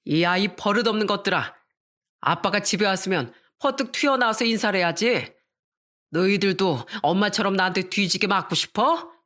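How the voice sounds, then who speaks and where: A woman's voice: mid-range voice, moderate pace, natural voice. A woman voicing a drunk, abusive middle-aged father who bullies his family. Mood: drunk yelling. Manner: bullying, yelling.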